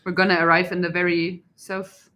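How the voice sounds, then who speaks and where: A young woman co-hosts a relaxed live chat, her voice sitting a little low. She laughs easily and chimes in with short, friendly remarks. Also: brisk. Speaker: co-host of a travel vlog. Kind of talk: casual live-stream chat.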